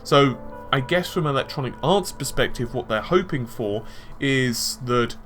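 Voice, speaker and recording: A man's voice: mid-range voice, animated, a little slow, fairly bright tone. A man giving conversational tech and gaming commentary, explaining his points with examples and asides. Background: background music.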